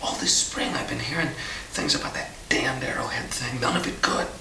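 A man acting in an audio drama as an uneasy small-town veteran, confiding in a halting, hushed way. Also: medium pitch, gravelly, whispered. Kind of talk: naturalistic radio drama acting. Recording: hissy, roomy, distant tape.

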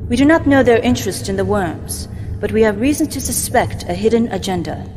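An actress playing a cold, formal adviser in a science fiction game, briefing in a measured, clinical way. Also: female voice, a little high, lively intonation, a little mellow, slight background noise, lightly acted. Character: cold, formal woman adviser of a scheming merchant house. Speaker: mission briefing officer. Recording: music behind the voice.

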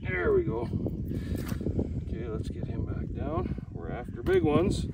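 A man's voice: a little high, wildly swooping pitch, measured pace, gravelly, muffled recording. A middle-aged man chatting casually as he fishes outdoors, as if for a vlog. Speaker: angler. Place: outdoors on a frozen lake. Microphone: camera mic a few steps away. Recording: loud wind noise, distant outdoor camera mic.

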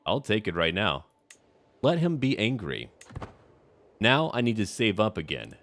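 A man's voice: lowish voice, sing-song, a little slow, fairly bright tone. A man giving roleplay commentary on a strategy game, musing theatrically in character like a schemer. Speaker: gamer narrating a strategy game.

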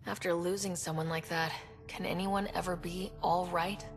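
An actress playing an earnest, determined young expedition leader in a video game, supportive and decisive. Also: female voice, fairly low pitch, level tone, moderate pace, lightly acted. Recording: long reverb, faint music behind.

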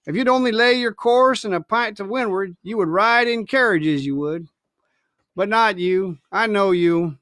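A man's voice: high voice, a little slow, a bit bright, no echo, clean studio sound. A man reading a storybook, voicing a sly, domineering pirate ship's cook with hectoring, colloquial sailor speech. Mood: scornful, scolding.

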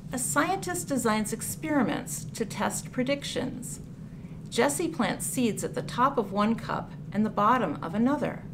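A woman reading a picture book aloud for children, with deliberate, clearly phrased storytelling delivery. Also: mid-range voice. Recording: clear background noise, room ambience.

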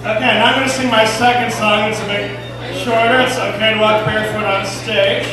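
A young man from California, a singer-songwriter, rambling with many fillers between songs at an open mic. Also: sits high. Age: young adult. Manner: rambling, halting talk full of fillers. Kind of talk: open mic singing and song intros. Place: dim cafe with stage. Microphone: far camera. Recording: echoey cafe from far off.

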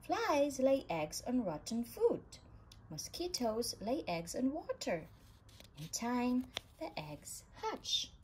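A woman reading a children's science book aloud in an animated storybook manner, with emphasis for young listeners. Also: a little high. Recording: clearly noisy home recording.

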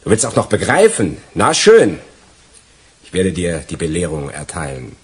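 Deep-voiced, sardonic crook. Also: male voice, huge pitch swings, breathy edge, heavily acted. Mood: gloating, taunting. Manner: sardonic, taunting, deliberate. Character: gloating, envious criminal betraying his partner. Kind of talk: German radio crime drama acting.

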